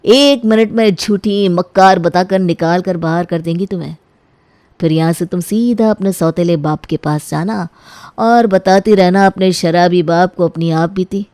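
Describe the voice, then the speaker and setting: A woman's voice: on the low side, a little slow, darkish tone, no echo. A woman playing a sly, lecherous young man, the brother-in-law in a story, with an insinuating, pushy manner. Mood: threatening, scornful.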